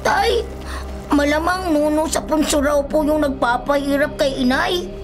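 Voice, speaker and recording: A woman's voice: high voice, measured pace, slight background noise. A woman voicing an anxious, polite little boy, a pleading grandson in a Filipino radio drama. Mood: worried, pleading. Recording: dramatic music behind.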